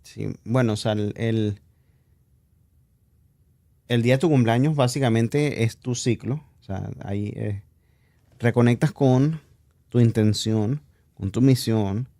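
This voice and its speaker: A man speaks as a guest in an informal, reflective chat. His tone is dark and relaxed at a medium pitch. The recording is dry and close. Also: slow pace, long pauses, dry recording. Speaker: podcast guest. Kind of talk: casual chat, personal reflection.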